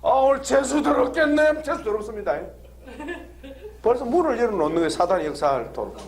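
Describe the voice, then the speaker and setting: A man preaching a lively sermon, laughing often and drawing call-and-response answers from the congregation with frequent questions. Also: very high-pitched, a little fast. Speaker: pastor. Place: church hall with congregation.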